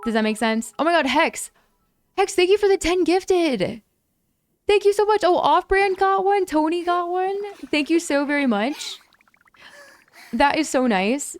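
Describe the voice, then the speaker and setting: A young woman streaming games, chatting casually with viewers and breaking into excited thanks for donations, her voice a little worn from talking for hours. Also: unhurried. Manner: chatty, bursts into high excited squeals thanking donors. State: voice a bit lower and worn from long talking. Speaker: gaming livestreamer. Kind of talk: casual livestream chat to viewers.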